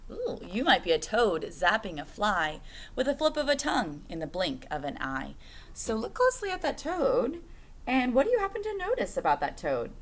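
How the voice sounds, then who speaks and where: A woman's voice: medium pitch, wildly swooping pitch, moderate pace. A woman reading a picture book aloud to children like a teacher, phrasing expressively and putting questions to her young listeners.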